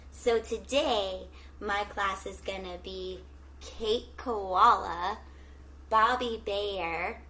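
A middle-aged woman teacher reading a picture book aloud to children, with expressive, drawn-out storytelling and questions to the young listeners. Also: medium pitch, slight background noise, low-quality recording. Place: small indoor room at home with plants.

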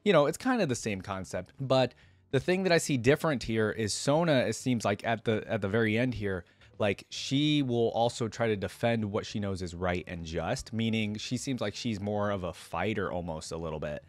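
Low-voiced young man, dry close mic. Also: animated, moderate pace, no echo. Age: young adult. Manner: casual, chatty asides. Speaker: reaction video host. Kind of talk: reading lore aloud with casual commentary. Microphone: close desktop microphone.

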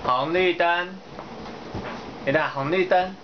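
Slow-paced man prompting a young child. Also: on the high side. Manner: short, repeated prompts and instructions. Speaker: parent quizzing a young child. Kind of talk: casual bilingual vocabulary practice with a child. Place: small bedroom at home. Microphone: handheld camera's built-in microphone. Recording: dull, noisy home camera audio.

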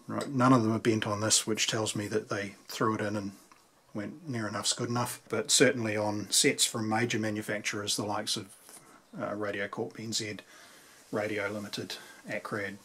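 A man's voice: lowish voice, flat delivery, normal speed, pushed and bright. A man with a New Zealand accent giving a casual, conversational explanation at a workbench as a radio repairer, thinking aloud. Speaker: vintage radio repairer presenting. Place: indoors at a workbench. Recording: clear background noise with clicks.

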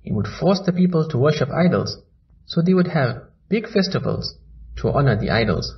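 A man narrating a children's story in a slow, lively storybook manner. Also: fairly high pitch, dull audio, a little hiss or hum.

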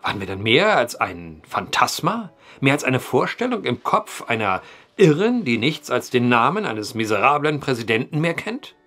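An actor playing a brooding, eloquent storyteller at a bar in an audio drama, wordy and theatrical. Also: male voice, mid-range voice, sing-song, moderate pace, fairly bright tone, faint music behind. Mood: brooding, insistent.

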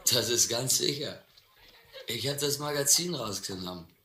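An actor playing a brash, insistent young drunken drifter in a German radio play. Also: male voice, medium pitch, expressive, moderate pace, bright, forceful tone, reverberant, a little hiss or hum. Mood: insistent, boastful. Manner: insistent, cocky, pestering.

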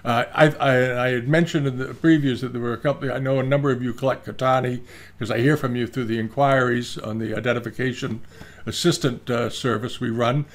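A man's voice: mid-range voice, fairly even intonation, brisk. An older man giving rambling, casual commentary on auction results, full of fillers and restarts, recorded at his desk on a webcam. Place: indoor room, screen-share with webcam inset.

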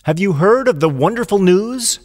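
Man putting on a gushing, high-pitched sly fox. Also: animated, moderate pace, a little mellow. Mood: falsely joyous. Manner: gushing, exaggerated joy. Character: sly hungry male fox, falsely friendly, over-excited.

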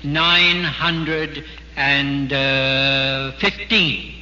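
A man's voice: mid-range voice, steady tone, very slow, sharp tone. A man giving an earnest, exhorting sermon at a religious convention. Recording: dull archival recording in a big hall.

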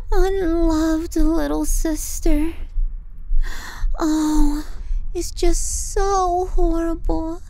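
Casting: female performer for manipulative younger sister, young adult, possessive and mocking; very dark, velvety, breathy murmur for ASMR roleplay. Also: high-pitched, low-quality recording. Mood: mocking sarcasm. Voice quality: soft, breathy. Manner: slow, breathy murmuring that slips into whispers. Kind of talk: intimate ASMR roleplay acting.

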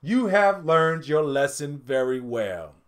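Wise storybook chief voiced by a man, solemn, measured, authoritative. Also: fairly high pitch, lively intonation, fairly bright tone.

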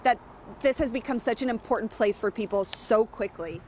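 A woman in her thirties reporting live for a morning TV show outdoors at a bakery, talking quickly and chattily into a handheld mic. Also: a little high, a little mellow, a little hiss or hum. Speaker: field reporter.

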